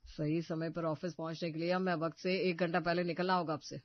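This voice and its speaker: A woman's voice: sits low, little pitch movement, a little fast, no room sound, natural voice. A woman voicing a tired young office worker in a cartoon, complaining as he walks. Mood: weary. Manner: complaining, weary. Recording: dull, muffled audio.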